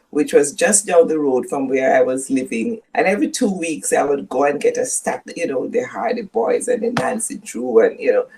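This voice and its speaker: An older woman from Jamaica with a Jamaican accent, speaking as a guest professor in a measured, storytelling way that leans on key words. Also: sits low, darkish tone, breathy edge, poor audio. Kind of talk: reflective interview conversation.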